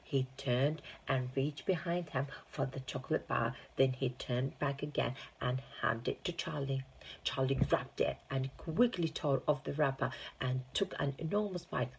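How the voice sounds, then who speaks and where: A woman's voice: extremely low pitch, measured pace, gravelly, breathy edge, low-quality recording. A woman reading a children's storybook aloud as narration. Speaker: teaching assistant reading aloud.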